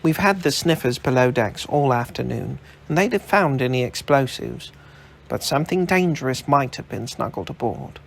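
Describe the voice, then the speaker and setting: A man's voice: mid-range voice, lively intonation, moderate pace, gravelly. A man reading aloud, voicing a plain-spoken young airship crewman with a matter-of-fact manner.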